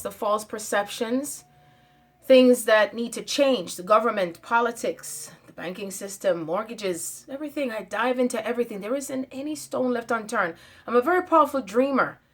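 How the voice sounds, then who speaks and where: A woman talking straight to her viewers in a spiritual monologue, stating her claims with emphasis, often repeating phrases and stopping on fillers. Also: medium pitch. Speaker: channel host.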